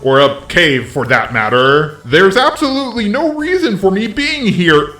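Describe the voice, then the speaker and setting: A man's voice: high-pitched, big pitch swings, measured pace, pushed and bright, soft background music. A man giving blunt, critical scripted commentary, recorded in an echoey room. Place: different, cave-like sounding room.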